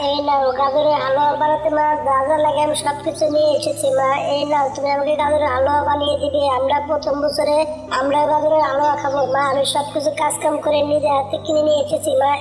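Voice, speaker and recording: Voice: high-pitched, close to an everyday voice. A performer voicing a young, earnest, chatty talking bird in a cartoon fable.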